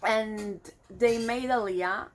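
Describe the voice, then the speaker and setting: Israeli woman, 20s, native Hebrew speaker, slow and reflective vlogger, mid-range pitch. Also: a little hiss or hum. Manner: reflective, searching for words with many fillers. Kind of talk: casual personal vlog monologue.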